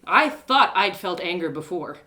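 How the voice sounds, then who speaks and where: Casting: woman performer as naive young heroine of an audio drama, stranded and betrayed, plucky, melodramatic, very animated delivery. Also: fairly low pitch, moderate pace. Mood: anguished rage. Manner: dramatic, exclaiming, stammering in distress. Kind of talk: first-person audio drama storytelling.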